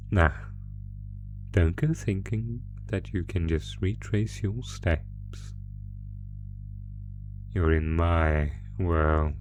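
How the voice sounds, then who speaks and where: A man voicing a sadistic monster in a horror roleplay audio drama, toying with a captive in a taunting, mock-polite manner with savoring pauses. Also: deep voice. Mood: menacing, gloating. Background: musical score.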